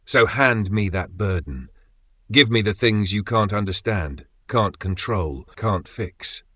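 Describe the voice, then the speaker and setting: A man reading a scripted devotional message in the voice of God, gentle and tender, often sinking to a near whisper. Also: fairly low pitch, no room sound.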